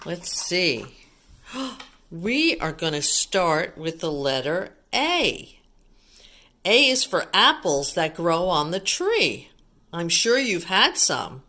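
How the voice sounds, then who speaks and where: A woman's voice: medium pitch, pushed and bright. A woman reading a picture book aloud to young children, asking simple questions and explaining things as a teacher would.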